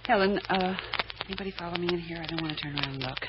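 An actress playing an anxious, devoted secretary who exclaims and pleads in an old-time American radio drama. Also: female voice, on the low side, racing, lightly acted. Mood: anxious, curious. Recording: muffled old radio recording, heavy noise.

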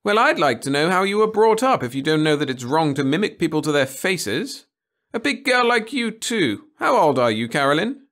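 Guy doing a bossy prim lady teacher for a storybook, high voice, very up and down, scolding. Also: very animated, moderate pace, fairly bright tone. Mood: annoyed, scolding. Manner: scolding, primly authoritative. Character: strict, fussy, complacent woman schoolteacher. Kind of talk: storybook audiobook character voice.

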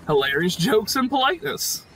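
A man's voice: sits high, big pitch swings, moderate pace, very noisy. A man giving casual, enthusiastic and jokey commentary over game footage. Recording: voice-over with game music behind.

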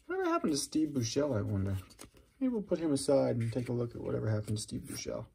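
A middle-aged man with a mellow, gravelly voice gives casual, unscripted commentary while handling trading cards. His tone is husky and creaky, and he muses aloud as if talking to himself. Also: mid-range voice, very animated, quick pace. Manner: chatty, musing aloud to himself. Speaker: host of a trading card opening video. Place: indoors at a wooden table.

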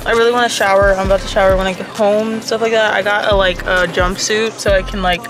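A woman's voice: medium pitch, fast, music in the background. A woman in her twenties vlogging casually to a phone camera in her car, chatty and slangy. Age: 20s. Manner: slangy, chatty, animated storytelling. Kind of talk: casual vlog chat to camera. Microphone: phone camera held close.

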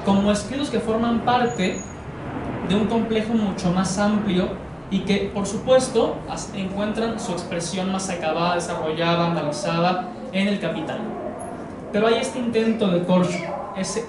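A man's voice: sits high, far from the mic, noisy background. Young man lecturing in an echoey room. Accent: Mexican Spanish. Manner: measured, explanatory, frequent hedging fillers. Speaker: course lecturer. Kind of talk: academic lecture on philosophy. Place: living room with sofas, indoors. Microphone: far camera.

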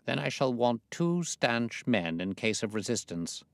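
A man voicing a self-assured, commanding gentleman detective, articulate and authoritative, in an audiobook. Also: mid-range voice, sing-song, unhurried, gravelly, no room sound, voice pushed up.

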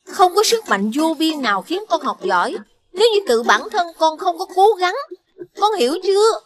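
A woman playing a caring but strict mother in an animated series. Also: extremely high pitch, moderate pace, a crowd in the background. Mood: lecturing. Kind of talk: Vietnamese animated series dubbing.

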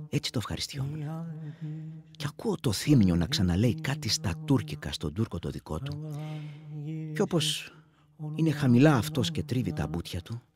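An actor playing a wounded village war veteran who reminisces intimately and plainly, with a husky voice, in a radio play monologue. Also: male voice, on the high side. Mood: fond reminiscing.

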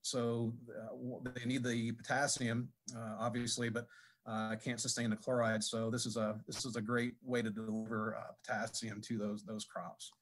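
A man giving a technical presentation at a conference, speaking haltingly with many fillers and restarts. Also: medium pitch, flat delivery. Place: conference session room.

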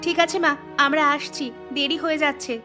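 A woman doing a high, hurried young daughter. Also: flat delivery, music in the background, natural voice. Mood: apologetic. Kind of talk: cartoon voice acting.

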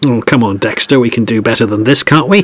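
An actor as a genial podcast host, close-recorded. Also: male voice, medium pitch, fast, no room sound, close to an everyday voice. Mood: wry. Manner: polite, presenterly. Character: genial, wry male football podcast host. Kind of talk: conversational audio drama acting.

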